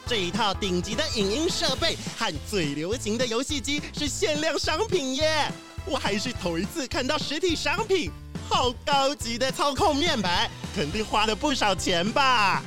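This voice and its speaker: Casting: woman voicing a wide-eyed young courier lad, high and animated, eager, in a kids' radio play with music behind. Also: normal speed, hardly any pauses. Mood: amazed. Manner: eager, easily amazed. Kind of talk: children's audio drama acting.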